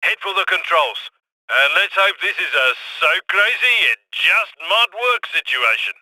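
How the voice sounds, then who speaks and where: An actor voicing a brisk, wry heist coordinator in a video game, giving urgent instructions over a radio. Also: male voice, high-pitched, expressive, pushed and bright, no echo, heavily acted, poor audio. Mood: wry, focused.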